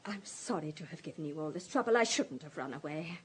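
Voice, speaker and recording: An actress playing a fragile, distraught countess of thirty-nine in a radio drama, halting, emotional and apologetic. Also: female voice, sits low, animated, a little hiss or hum. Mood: shaken, apologetic. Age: 30s.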